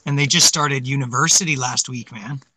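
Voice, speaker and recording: A man's voice: mid-range voice, fairly quick, bright, forceful tone, slightly rough, no echo. A man in his forties co-hosting a casual livestream chat, rambling, laughing often and swearing casually. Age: 40s. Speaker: livestream co-host.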